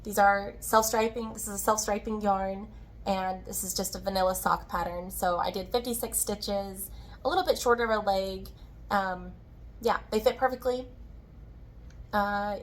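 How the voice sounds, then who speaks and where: Slightly hoarse woman chatting about crafts. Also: medium pitch, steady tone, moderate pace. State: slightly hoarse, tired-sounding from recovering from illness. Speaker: podcast host, yarn dyer and shop owner. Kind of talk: casual crafting video chat.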